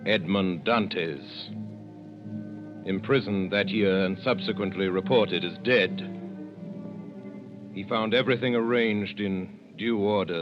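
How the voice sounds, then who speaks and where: A man narrating in a measured, commanding way in 1930s radio drama style. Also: sits low. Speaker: narrator and lead actor. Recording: dull old radio recording.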